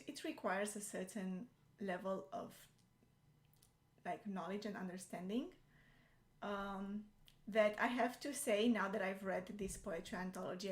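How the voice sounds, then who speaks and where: A young adult woman, a native Romanian speaker, chatting in English as a co-host, hesitant and full of fillers. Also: mid-range voice. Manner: hesitant, many fillers and restarts. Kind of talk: casual chat. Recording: echoey room.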